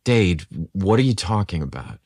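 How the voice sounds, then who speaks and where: A man voicing a tender, excitable man in love in a romantic audio story, earnest and emotional. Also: low-pitched, normal speed, no room sound, clean studio sound. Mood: anxious.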